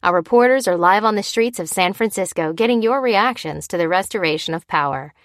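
A measured radio news anchor, read by a woman narrator, formal and cautioning. The delivery is clear and broadcast-like, slightly low and very dry. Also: no echo, lightly acted.

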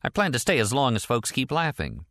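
A man voicing a wry, unflappable private detective who answers with deadpan, feigned innocence. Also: mid-range voice, fairly quick, dry recording, clean studio sound, pitched above the performer's own voice.

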